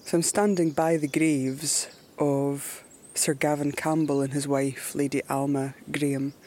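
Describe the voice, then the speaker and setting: A woman with a Scottish accent narrating a river journey outdoors in a reflective, unhurried way. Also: low-pitched, level tone, warm tone. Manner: musing, unhurried. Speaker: adventurer presenting a journey.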